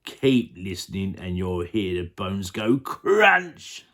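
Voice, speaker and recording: Woman doing a very deep menacing crocodile. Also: very animated, a bit bright, full character voice. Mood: gloating, menacing. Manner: slow, drawn-out menace. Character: menacing, gloating male crocodile, hungry for children.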